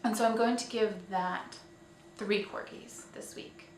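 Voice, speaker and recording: Female vlog host, informal and hesitant, mid-pitched, recorded away from the mic in an echoey room. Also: fairly even intonation, a little slow. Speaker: host of a weekly online show. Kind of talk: casual scripted-outline vlog commentary. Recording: roomy, distant mic, faint noise.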